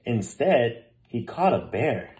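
A man's voice: medium pitch, sing-song, takes it slowly, darkish tone, slight background noise, rough recording. A man reading a children's picture book aloud as narrator. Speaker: storybook reader. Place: small room at home, indoors.

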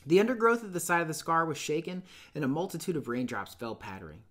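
A man in his thirties teaching and reading aloud in an expressive, emphatic, explanatory way. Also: fairly high pitch, quick pace. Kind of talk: explanatory lesson and storybook narration. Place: small dim room indoors.

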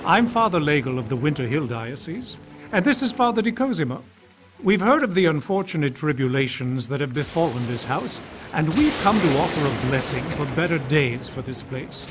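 An actor playing a courteous, solemn visiting priest in a video game, formal and polite. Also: male voice, fairly high pitch, sing-song, normal speed, over music. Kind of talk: video game cutscene acting.

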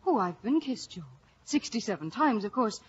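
A woman's voice: fairly high pitch, sing-song, normal speed, breathy edge, full character voice. An actress with an Irish accent playing a guileless, romantic young village woman, earnest and frank, in 1940s radio style. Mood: frank, coy. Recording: muffled old radio broadcast.

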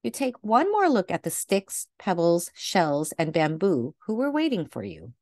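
Lively, lower-pitched woman reading a storybook. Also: unhurried, dry recording, clean studio sound. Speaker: picture book reader. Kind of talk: read-aloud storytelling for children.

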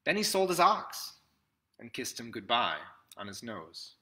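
A man in his thirties reads a storybook aloud in measured short phrases with pauses, recorded indoors at arm's length from the mic. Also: medium pitch, very animated, pushed and bright. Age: 30s. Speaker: picture book reader. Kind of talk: read-aloud storybook narration. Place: small indoor room with classroom wall displays.

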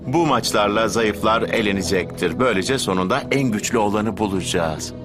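A scheming cartoon villain, played by a man, speaks in a low, smooth voice. He is measured, formal and condescending, with music clearly playing behind him. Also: natural voice. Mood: cool, calculating. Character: scheming adult male villain, smooth and calculating. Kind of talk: Turkish-dubbed anime acting.

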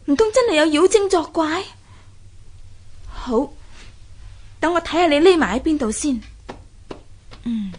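An actress playing a curious, plucky young fisher maiden in a radio drama, exclaiming and sighing as she talks to herself. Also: high voice. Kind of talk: Cantonese radio drama acting.

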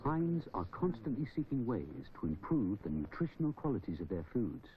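A man's voice: fairly low pitch, sing-song, moderate pace. A man presenting a scripted corporate film in the manner of a narrator. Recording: dull old film soundtrack, music.

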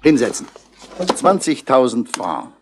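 An actor playing a stern, impatient police commissioner who interrogates brusquely, in the style of a 1960s crime radio drama. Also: male voice, medium pitch, sing-song, measured pace, background noise. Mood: urgent, commanding.

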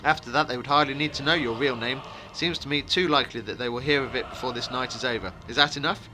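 A man voicing a calm, secretive and wary wandering stranger, with a brisk, commanding and ominous way of talking, as in a fantasy storybook. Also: mid-range voice, natural voice. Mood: urgent, ominous.

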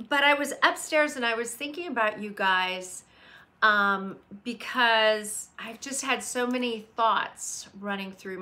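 Slow-talking woman in her fifties, bright. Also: mid-range voice. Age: 50s. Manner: chatty, warm greetings to viewers by name, candid. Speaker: livestream host. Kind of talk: casual live chat greeting viewers. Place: home room with leather chair by window. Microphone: webcam at desk.

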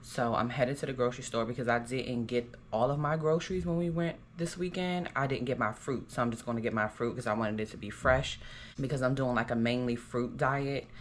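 A woman's voice: extremely low pitch, fairly quick, a little hiss or hum. A young woman from the United States talking casually to her phone camera for a vlog, chatty and upbeat, with lots of "like" and "um" fillers. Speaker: vlogger.

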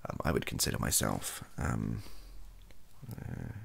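A young British man, softly spoken, rambling hesitantly through an opinion monologue in a gravelly, husky voice and often trailing off. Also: extremely low pitch, unhurried. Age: young adult. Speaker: ASMR YouTuber. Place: small home room, indoors.

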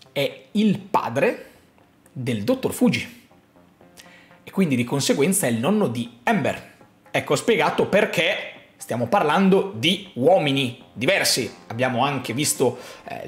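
A man, explaining a theory to camera in an emphatic, suspenseful way, repeating phrases for effect. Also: fairly high pitch. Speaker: YouTube host. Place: small home room, indoors. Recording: roomy echo. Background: background music.

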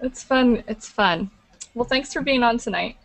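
A woman, co-hosting a webcam panel and introducing guests in a brisk, upbeat way. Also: fairly high pitch, gravelly, poor audio. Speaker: co-host. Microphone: webcam video call.

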